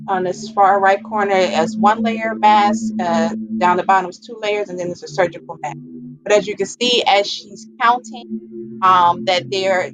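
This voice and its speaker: A woman's voice: medium pitch, low-quality recording. A woman giving an informal, explanatory public health presentation, stressing and repeating her key points as an educator.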